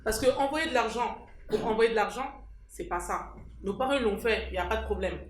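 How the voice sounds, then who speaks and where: A woman's voice: mid-range voice, fairly quick, roomy sound, slight background noise. A woman speaking up at an association meeting, emphatic and repeating her key points. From France. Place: meeting hall.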